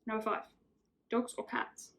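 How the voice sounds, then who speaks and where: A woman's voice: measured pace, fairly bright tone. A woman in her twenties, chatting casually and rambling through get-to-know-me questions, often correcting herself. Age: 20s. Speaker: vlogger.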